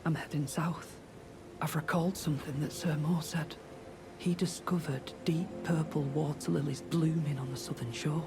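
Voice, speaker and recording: An actress playing a gentle, hesitant young companion in a fantasy video game, speaking earnestly. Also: female voice, low-pitched, steady tone, moderate pace, music in the background. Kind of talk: scripted video game drama.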